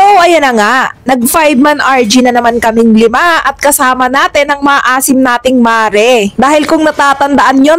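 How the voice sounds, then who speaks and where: A Filipino woman with a high voice delivers scripted gameplay commentary. She tells it like a campy, playful story, full of slang. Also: fairly bright tone, no echo. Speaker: gaming content creator.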